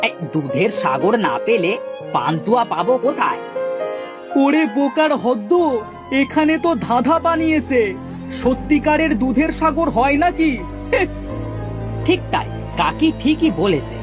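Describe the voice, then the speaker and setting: Cartoon village youth, foolish and excitable played by a man: high, swooping, exclamatory voice, music behind. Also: unhurried, pauses often. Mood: puzzled, bickering. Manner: exclamatory, bickering. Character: excitable foolish treasure-obsessed young village brother.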